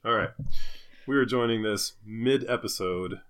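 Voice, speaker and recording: A man's voice: on the low side, unhurried, a bit bright, clear, resonant tone. A man hosting a podcast, chatting casually and narrating a comic script. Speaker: podcast host.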